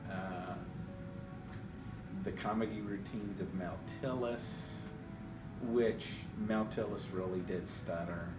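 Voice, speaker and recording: A middle-aged man who stutters, speaking reflectively in an interview, measured, with pauses and repeated words. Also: on the low side. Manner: measured, with pauses and word repetitions. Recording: roomy echo, distant mic, music behind. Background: guitar music.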